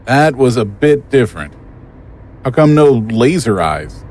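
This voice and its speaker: A man's voice: medium pitch, sing-song, measured pace, darkish tone. An actor playing an easygoing, wryly nervous male sidekick in an audio drama. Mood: puzzled, concerned.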